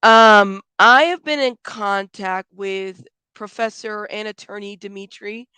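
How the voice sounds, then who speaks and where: A woman hosting a live commentary stream, stuffed up and a little hoarse, talking bluntly and chatting with viewers by name. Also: medium pitch, steady tone, a little slow, bright, forceful tone, no echo. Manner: blunt, frank, calls people out, swears; chatty, greets viewers by name, many fillers. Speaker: YouTube commentary host.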